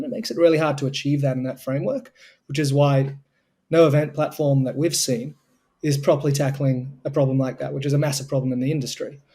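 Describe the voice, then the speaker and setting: Earnest Australian man, mellow tone. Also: a little high, slightly rough. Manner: earnest, fluent, explaining with conviction. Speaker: guest, co-founder of a tech charity. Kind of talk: remote interview answers.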